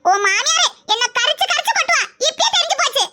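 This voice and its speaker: Lady doing a cartoon tamil mom from the south, super high pitched, nagging and sarcastic, southern tamil nadu accent, noisy. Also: expressive, quick pace. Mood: scolding. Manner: nagging, sarcastic, sharp-tongued. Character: scolding, sarcastic, exasperated village mother. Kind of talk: cartoon character dialogue. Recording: very loud background noise.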